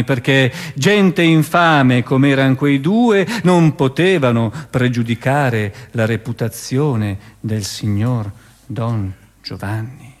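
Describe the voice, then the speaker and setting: A man narrating a scripted stage piece in a measured, dramatic way. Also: medium pitch.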